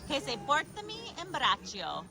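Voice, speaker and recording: High, animated woman coaxing small kids. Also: normal speed, vocal fry. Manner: coaxing, encouraging, repeats phrases for children. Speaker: aunt teaching a toddler Italian phrases. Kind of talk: casual playful vlog talk to small children. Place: outdoors in a busy theme park. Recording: loud crowd and music behind. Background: music, crowd, park bustle.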